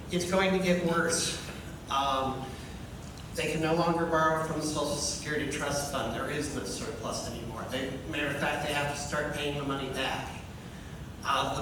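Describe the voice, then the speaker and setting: A man from California with a slightly high voice gives a measured debate statement, pausing on "um"s. He sounds far off in a very echoey hall over clear noise. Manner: measured, stressing figures with hesitant "um"s. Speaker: candidate.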